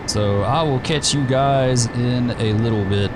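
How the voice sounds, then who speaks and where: A slightly hoarse man hosts a gaming stream, chatting through his flight in a relaxed, rambling way full of fillers. His pitch sits mid-range. A steady hum of background noise stays under his voice. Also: measured pace. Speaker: gaming channel host. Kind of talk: casual gameplay commentary.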